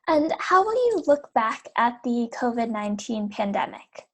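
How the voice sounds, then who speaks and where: Breathy woman interviewer, lively pitch. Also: moderate pace. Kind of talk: reading interview questions.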